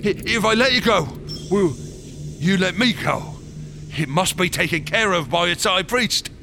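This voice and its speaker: An actor playing a deranged, fanatical wax sculptor in a horror radio drama, theatrical and gloating. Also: male voice, high-pitched, lively intonation, a little slow, creaky, pushed and bright, slight background noise, exaggerated voice. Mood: frantic pleading. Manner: theatrical, swinging from whispers to shrieks, cackling.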